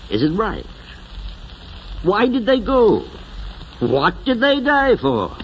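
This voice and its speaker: An actor playing an elderly, sentimental small-town mayor with a solemn, oratorical manner, in 1940s radio drama style. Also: male voice, mid-range voice. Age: older. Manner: oratorical, solemn, drawn-out phrasing. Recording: muffled, hissy 1940s radio recording.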